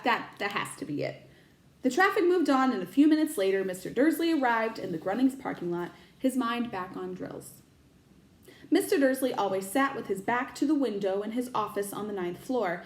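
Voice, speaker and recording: A woman teacher reading a children's storybook aloud, expressive and stopping to explain words to young listeners. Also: fairly high pitch, echoey room.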